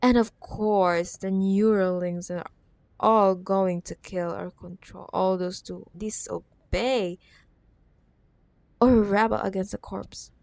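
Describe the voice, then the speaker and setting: A woman's voice: medium pitch, fairly even intonation, takes it slowly, creaky, darkish tone, dry recording. A woman playing a possessive, menacing corporate CEO ex-girlfriend in a roleplay ASMR drama, mock-sweet and teasing, sometimes dropping to a whisper. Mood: sarcastic, exasperated.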